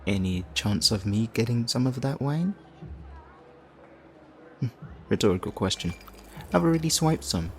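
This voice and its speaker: A man playing a flirtatious, theatrical supervillain leader in an audio roleplay, smug and righteous, with teasing, drawn-out phrasing. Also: medium pitch, expressive, slight background noise. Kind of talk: audio roleplay addressed to the listener.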